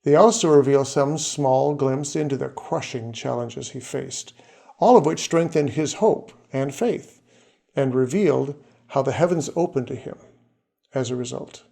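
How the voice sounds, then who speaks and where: A man giving a scripted narration as the presenter of his own series, in a measured, steady way as he works through names and facts. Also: medium pitch, vocal fry.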